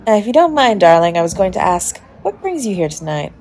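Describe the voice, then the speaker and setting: A woman's voice: mid-range voice, sing-song, brisk, darkish tone, close to an everyday voice. A woman playing a charming, flirtatious stranger at a party, chatty, coy and teasing, in an intimate romantic audio roleplay. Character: charming, playfully flirtatious adult party guest, smooth-talking stranger. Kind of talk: romantic audio roleplay, intimate one-sided dialogue to the listener. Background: music behind the voice.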